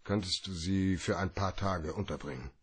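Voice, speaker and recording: An actor playing a hard-boiled, wry private detective in a noir radio drama, with a gravelly, laconic delivery. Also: male voice, low-pitched, no room sound. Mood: casual, probing. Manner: laconic, dry, slow.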